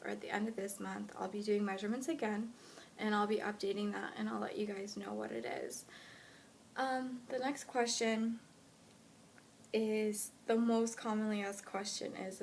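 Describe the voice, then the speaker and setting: A woman of twenty-one with an American accent, chatting casually to followers as a fitness vlogger. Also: medium pitch. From United States, lived in Honduras when younger. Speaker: fitness blogger introducing self to followers. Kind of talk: casual vlog chat answering questions. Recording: clear steady background noise.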